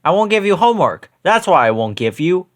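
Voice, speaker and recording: A man's voice: high-pitched, sing-song, fairly quick. A man voicing a cheeky, bossy schoolboy class clown in a children's storybook.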